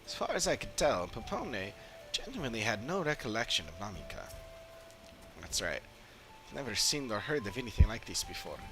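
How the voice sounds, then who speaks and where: Bright-voiced man rambling over game music. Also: fairly low pitch, very animated, moderate pace. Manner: musing, rambling, thinking aloud. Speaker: game streamer. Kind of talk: casual livestream commentary while playing a game.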